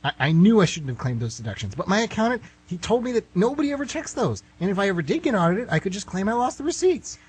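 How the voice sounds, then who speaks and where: A man's voice: sits high, big pitch swings, quick pace, few pauses, slightly rough, exaggerated voice. An actor playing a nervous, bewildered writer in his thirties in a comic radio play, hesitant and stammering. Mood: anxious. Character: nervous, bewildered thirty-three-year-old male writer in hell. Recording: noisy amateur recording with hum.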